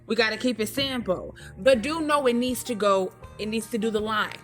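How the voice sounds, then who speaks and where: A woman's voice: a little high, fairly quick, a bit bright, soft background music. An American woman co-hosting a show with casual, opinionated banter, emphatic and repeating phrases for effect. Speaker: radio show co-host.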